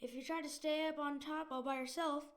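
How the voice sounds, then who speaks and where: A woman playing a bright, polite young boy in an audio drama, speaking in an earnest, plain way. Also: sits high, fairly even intonation, brisk, lightly acted. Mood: eager.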